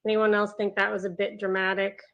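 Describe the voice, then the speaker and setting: A woman's voice: mid-range voice, flat delivery, a little fast, fairly bright tone, lightly acted. A woman playing a bored, sarcastic, needling psych ward patient at a table read. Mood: bored, dry.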